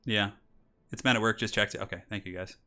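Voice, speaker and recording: A bright, chatty man in his thirties hosts a live game stream. He reads out donations and chats with viewers, then casts a strategy game match. His match intros rise to hyped announcing. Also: on the low side, fairly even intonation, fast talker, smooth. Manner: chatty, reads donations aloud, laughs often. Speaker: streamer and tournament caster. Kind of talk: casual live-stream chat and game commentary.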